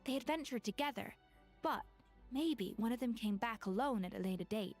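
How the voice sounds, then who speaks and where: Young female game chef, higher pitch, inquisitive, matter-of-fact, analytical, music behind. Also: expressive, moderate pace, a little mellow. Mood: thoughtful. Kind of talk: video game voice acting.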